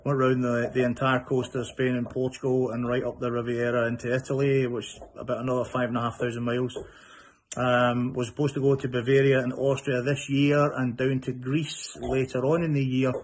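A man's voice: mid-range voice, level tone, fairly quick, a little hiss or hum. A middle-aged man with a Scottish accent giving a casual video update on his phone. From Scotland. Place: room at home. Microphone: phone at arm's length.

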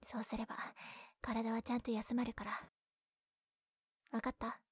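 Woman acting a breathy, high-voiced tsundere childhood friend. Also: flat delivery, moderate pace, dry recording, clean studio sound. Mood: gentle, bashful. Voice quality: breathy, often whispering. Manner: scolding yet tender, flustered. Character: tsundere childhood friend, young woman, bashful, secretly caring. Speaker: voice actress in roleplay audio. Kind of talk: Japanese ASMR situation-voice roleplay. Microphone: close binaural microphone, dry.

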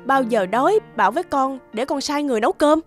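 A woman's voice: sits high, expressive, fast talker, faint music behind. A woman playing a curt, cold, dismissive grown daughter in a cartoon, brusque and impatient. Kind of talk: cartoon voice acting.

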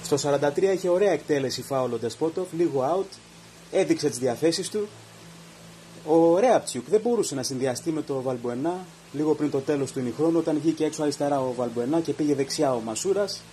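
A man's voice: fairly high pitch, mellow, slight background noise. Opinionated podcaster man. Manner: opinionated, reads out events minute by minute. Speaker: podcast host. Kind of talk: post-match commentary monologue.

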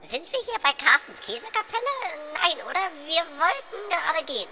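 Squeaky cowardly little goblin. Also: male voice, very animated, a little fast, background noise, exaggerated voice. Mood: nervous, cheeky. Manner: jittery, nervous babbling. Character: small silly cowardly male goblin, anxious and cheeky.

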